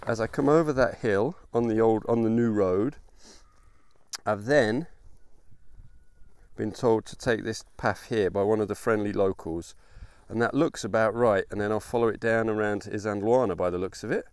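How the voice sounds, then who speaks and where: A man's voice: fairly low pitch, moderate pace, a little mellow, clear, resonant tone, no echo. A man in his thirties giving casual, informal commentary as he hosts a hiking vlog, with wry asides. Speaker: host of a history hiking vlog. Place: outdoors in open countryside on a hiking trail. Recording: outdoor noise, open-air ambience.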